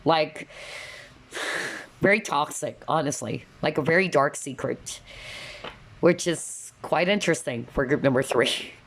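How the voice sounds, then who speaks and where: A woman's voice: low voice, unhurried, long pauses. A woman giving a casual tarot reading to viewers, chatty and full of asides, her voice slightly nasal as she loses it. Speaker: tarot reader.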